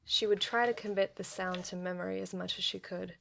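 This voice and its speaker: Gravelly-edged woman narrating roleplay. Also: medium pitch, fairly even intonation, fairly quick, fairly bright tone, slight background noise. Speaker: tabletop roleplay player. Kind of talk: improvised third-person roleplay narration.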